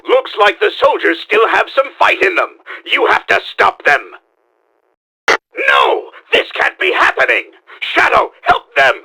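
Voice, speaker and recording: An actor playing a pompous, scheming mad-scientist villain in a video game, with a theatrical, emphatic delivery. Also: male voice, very high-pitched, vocal fry, sharp tone, breathy edge, heavily acted, rough recording. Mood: indignant, scheming, then alarmed, urgent. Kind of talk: video game character acting.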